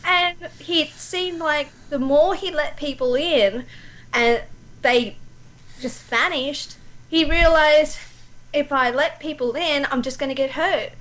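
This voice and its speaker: High-pitched woman, emphatic and opinionated. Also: takes it slowly, fairly bright tone, low-quality recording. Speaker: guest voice actor. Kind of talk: casual chat, opinionated fan discussion.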